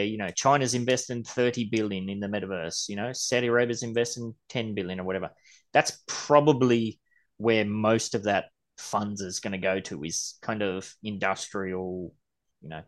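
Man in his forties co-hosting a podcast. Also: lowish voice. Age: 40s. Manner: reads quotes aloud then riffs on them. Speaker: podcast co-host. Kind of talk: casual chat reading news articles.

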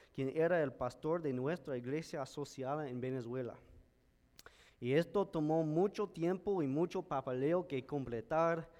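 A male pastor whose first language is English, preaching a sermon in a careful, measured way with an American accent. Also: fairly high pitch, darkish tone. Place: church sanctuary. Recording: church hall with long reverb.

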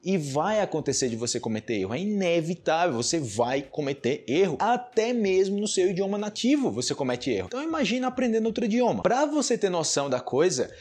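A man with a Brazilian Portuguese accent speaks as an informal language teacher. His voice is husky rather than smooth. He explains conversationally, leaning on repetition to stress his points. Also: sits high, big pitch swings, brisk, hardly any pauses, darkish tone. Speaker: Portuguese teacher and podcast host. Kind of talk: informal explanatory talk to learners.

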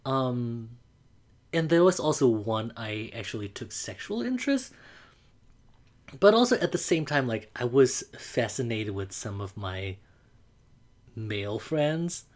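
A man, calmly telling a personal coming-out story to the camera, often pausing on "like" as he thinks. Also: mid-range voice. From Burma. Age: 20s. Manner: reflective, unhurried, frequent "like" fillers.

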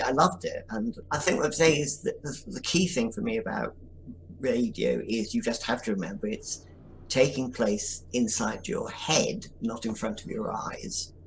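A man's voice: medium pitch, normal speed, creaky, a bit bright, a little hiss or hum, low-quality recording. A man, a writer, answering interview questions in a thoughtful, articulate way.

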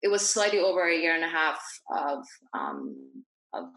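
Bright-voiced woman guest in an echoey room. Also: sits low, huge pitch swings, creaky. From born in Iran, grew up in Dubai. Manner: halting, frequent pauses and self-corrections. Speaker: podcast guest, naturopathic doctor. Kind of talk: reflective interview talk.

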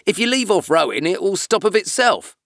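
Storybook sheep, acted by a man: surly, dismissive, unhelpful, with animated intonation. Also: sits high, fairly quick, no echo, clean studio sound, pitched above the performer's own voice, exaggerated voice. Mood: grumpy, curt. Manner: curt, dismissive. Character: grumpy, unhelpful knitting sheep.

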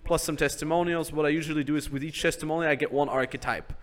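A man hosting a podcast, giving casual business advice step by step. He keeps acting out sample sales pitches and checking in with "right?". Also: steady tone, fast talker. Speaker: business coach host.

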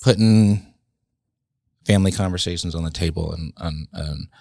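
A man in his late thirties talks in an extremely low, gravelly voice. He is a guest in a casual conversation, thinking aloud with frequent ums and self-corrections. The room sounds dry and close. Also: darkish tone, no room sound. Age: late 30s. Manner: reflective, halting, many ums and restarts.

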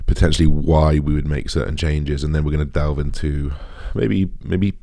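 A young British man with a deep, raspy voice, chatting casually as a podcast host in a rambling, informal way. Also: steady tone, normal speed, warm tone, no echo, clean studio sound. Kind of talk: casual solo podcast chat.

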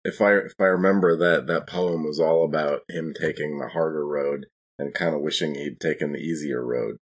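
A man with a husky voice, chatting casually as a player in a tabletop game. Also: extremely low pitch, fairly even intonation, moderate pace. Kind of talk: casual in-character tabletop discussion.

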